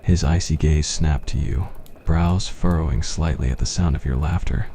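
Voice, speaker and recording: A man's voice: very deep voice, moderate pace, dark tone, slight background noise. A man reading a scripted fantasy roleplay as ASMR story narration.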